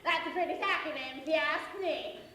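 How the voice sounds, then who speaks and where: A woman's voice: high-pitched, fairly quick, very echoey, background noise, natural voice. A woman playing a sly, greedy male rat with a sneering, scheming manner.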